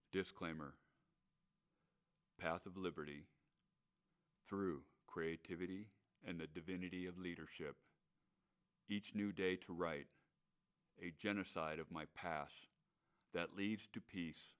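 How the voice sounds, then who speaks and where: A man performs spoken-word poetry in a deep, smooth voice. His phrasing is grave and measured, with a very slow pace. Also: a bit bright. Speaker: poet.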